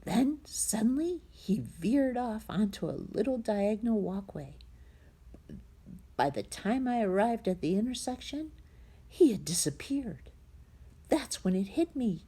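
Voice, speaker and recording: Expressive, husky-voiced woman reading a children's story. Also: on the high side, measured pace. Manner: dramatic, hushed suspenseful drops into whispers. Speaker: storybook reader.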